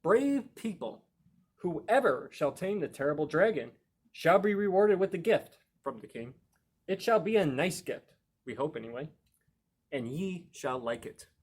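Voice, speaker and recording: A man's voice: a little high, expressive, measured pace, darkish tone, breathy edge, pitched above the performer's own voice. A man voicing fed-up storybook villagers making a proclamation, declamatory and drawn out like a town crier. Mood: proclaiming.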